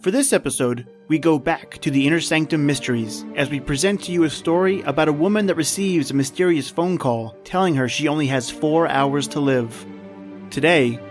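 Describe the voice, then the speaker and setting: A man hosting and narrating an old-time radio horror show in a scripted storytelling style. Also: on the high side. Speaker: podcast host and narrator.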